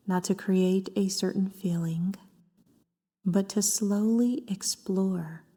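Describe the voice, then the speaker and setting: A woman leading a scripted guided meditation in a gentle, soft voice with breathy phrase endings and long pauses between short phrases. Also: medium pitch. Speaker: therapist guiding a meditation. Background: background music.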